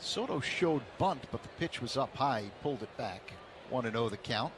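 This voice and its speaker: A man calling baseball play-by-play on the radio in a steady, measured way. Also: mid-range voice, a little hiss or hum. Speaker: play-by-play announcer.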